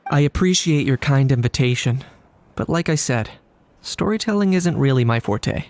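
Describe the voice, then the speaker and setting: An actor playing a pragmatic, unbothered young man in a video game, speaking in a measured, matter-of-fact deadpan. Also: mid-range voice, slight background noise, close to an everyday voice. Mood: politely reluctant. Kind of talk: English video game dub acting.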